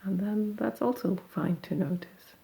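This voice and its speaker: A woman guiding a meditation in a gentle, unhurried, soothing manner, leaving long pauses between phrases. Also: mid-range voice, gravelly. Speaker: meditation guide. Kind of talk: guided meditation.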